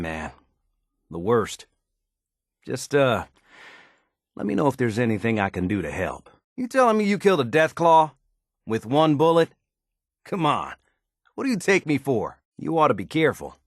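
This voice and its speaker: A man's voice: mid-range voice, no room sound, clean studio sound. A man playing a down-to-earth, folksy and modest handyman in a wasteland video game, with easygoing, colloquial phrasing. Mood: sympathetic, then wry, assured. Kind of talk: video game character dialogue.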